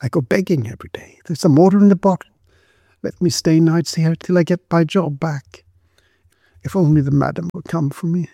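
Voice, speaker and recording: A frightened young mother from a storybook, acted out by a male narrator, pitched well up and shrill. She lisps and stammers, humble and pleading, as though begging to be left in her shelter. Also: moderate pace, creaky, dark tone, dry recording, studio quality. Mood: tearful, pleading. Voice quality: shrill, lisping.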